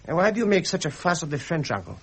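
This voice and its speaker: An actor playing a brash, opinionated young German prince in a 1970s radio drama, speaking in a formal, pompous, insistent manner. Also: male voice, on the high side, sing-song, fast talker, breathy edge. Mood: challenging, pompous. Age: young adult.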